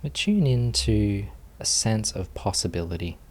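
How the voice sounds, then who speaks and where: A man leading a guided meditation as a teacher, speaking calmly and soothingly with long pauses. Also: fairly low pitch.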